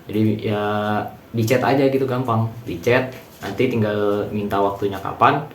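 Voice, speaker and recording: A man's voice: lowish voice, fairly even intonation, moderate pace. A young man, giving a student testimonial in a colloquial, explanatory way. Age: late teens. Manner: colloquial, explanatory, many tag words. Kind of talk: casual informal testimonial. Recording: roomy echo, faint background noise.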